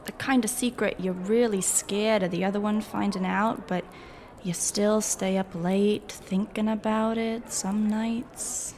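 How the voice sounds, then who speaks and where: A woman's voice: mid-range voice, fairly even intonation, a little slow, darkish tone, lightly acted. A woman playing a brash, flirtatious, foul-mouthed adventurer with a cheeky, casual drawl in a fantasy audio drama. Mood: flirtatious, teasing.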